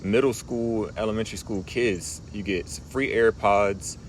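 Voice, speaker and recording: A man outdoors on a city street, reading scripture aloud in a measured, deliberate way and adding commentary. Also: lowish voice, steady tone, a little hiss or hum, poor audio. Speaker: scripture reader in a street teaching group.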